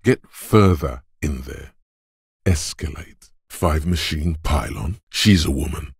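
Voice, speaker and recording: An actor voicing a gruff, macho inner voice of physical strength for a video game, like a drill coach, blunt, taunting and commanding, with a gravelly, husky tone. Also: male voice, extremely low pitch, takes it slowly, dry recording, studio quality, full character voice.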